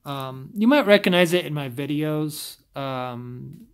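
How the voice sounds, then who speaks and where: A man's voice: on the high side, lively intonation, takes it slowly, smooth. A man with a scratchy voice talking casually to camera, often stumbling and restarting his sentences. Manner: stumbles over words, rambling restarts.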